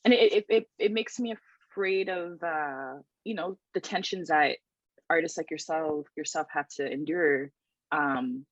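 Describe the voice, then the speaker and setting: A woman's voice: low-pitched, a little slow, no echo, dull audio. A woman, a writer and researcher talking thoughtfully in a casual podcast chat, with many restarts and ums. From Toronto, Canada.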